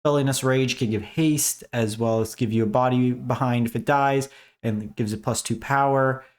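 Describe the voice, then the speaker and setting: A man hosting a casual game strategy breakdown, his voice raspy as he is losing it, talking in a conversational way with many fillers. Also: mid-range voice, fairly even intonation, normal speed. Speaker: host. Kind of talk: casual gaming commentary.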